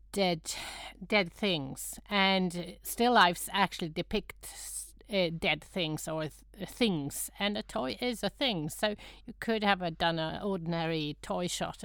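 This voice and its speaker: A woman co-hosting a casual podcast, thoughtful and quick to laugh. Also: on the low side, no echo. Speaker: podcast co-host.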